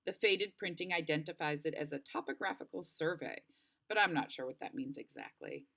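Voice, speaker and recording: A woman narrating a children's novel aloud in an expressive storybook style, acting out the dialogue. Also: deep voice, fairly quick, gravelly. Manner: animated, acts out dialogue.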